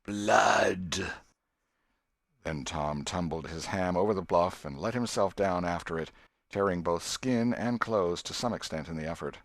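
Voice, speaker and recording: A man reading a classic novel aloud as scripted narration, in a measured, expressive storytelling manner. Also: low-pitched, no echo, clean studio sound. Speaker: audiobook reader.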